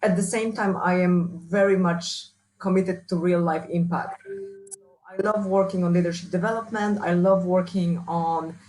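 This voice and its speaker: A woman with a strong Polish accent answering interview questions as a researcher and trainer, often restarting and filling pauses. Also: on the low side, steady tone, darkish tone, slight background noise, rough recording. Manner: hesitant, many restarts and fillers. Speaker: workshop speaker, researcher.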